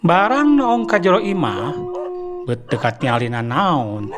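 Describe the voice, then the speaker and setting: A man's voice: wildly swooping pitch, takes it slowly, loud music behind the voice. Sundanese man telling a dramatic radio tale. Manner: dramatic, rising pitch on suspense. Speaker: storyteller.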